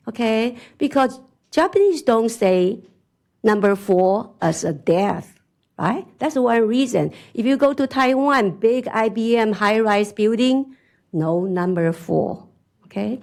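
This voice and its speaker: A middle-aged woman with a strong Chinese accent speaks at a conference, talking in a lively, chatty way and checking in with "right?" and "okay?". Her voice is mid-pitched with a gravelly edge. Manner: animated, chatty, laughs often, asks "right?". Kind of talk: conference talk. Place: conference hall.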